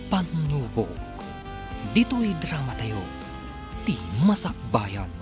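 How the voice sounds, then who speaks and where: Male radio serial announcer, Filipino accent, mid-pitched, highly varied intonation, music underneath. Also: very animated, slow pace. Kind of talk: dramatic scripted radio narration. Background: background music with guitar.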